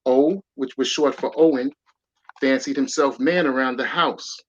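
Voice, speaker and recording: A man's voice: mid-range voice, a little slow, breathy edge. A man, a guest author, reading his rhyming children's story aloud with a rhythmic storytelling lilt. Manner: rhythmic storyteller reading verse, lively emphasis. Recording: dull lo-fi sound.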